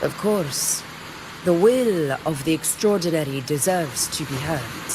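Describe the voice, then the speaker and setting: Proud female gladiator boss from a game, slow n regal, kinda low voice, noisy ambience behind her. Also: expressive. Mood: respectful, stately. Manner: formal, stately, measured and self-assured. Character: proud, commanding female gladiator war leader, regal and battle-hungry. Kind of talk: dramatic video game character dialogue.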